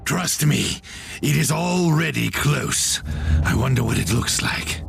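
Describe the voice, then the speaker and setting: An actor playing a battle-hardened, proud space marine sergeant in a video game, speaking in a grandiose, dryly sardonic way. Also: male voice, mid-range voice, expressive, slow pace, vocal fry, noisy background, full character voice. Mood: grim. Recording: loud music behind the voice. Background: loud game music.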